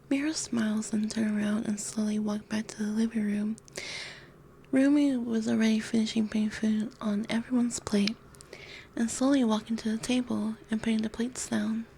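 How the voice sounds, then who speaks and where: Soft, breathy woman narrating ASMR. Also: a little high. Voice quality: breathy, often whispered. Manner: gentle, soft-spoken. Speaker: ASMR storyteller. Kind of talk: scripted ASMR story narration. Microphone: close binaural microphone. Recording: faint background noise.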